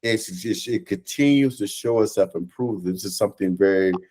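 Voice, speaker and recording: An American man in his thirties speaking earnestly as an interview guest on a video call, halting and repeating phrases for emphasis. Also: on the low side, warm tone. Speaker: guest, stage play cast or crew member. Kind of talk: reflective conversational interview. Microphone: video call microphone.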